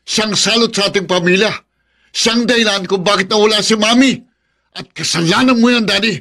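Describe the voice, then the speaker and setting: An actor playing a bitter, bullying young troublemaker son in a Filipino radio drama, taunting and confrontational. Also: male voice, sits high, normal speed, pushed and bright. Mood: bitter, angry. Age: young adult.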